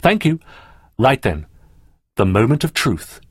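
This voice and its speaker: A man's voice: mid-range voice, big pitch swings, a little slow, breathy edge, no echo, studio quality. A man voicing an earnest schoolboy inventor, proud and self-assured, in a children's story. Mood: serious, intent.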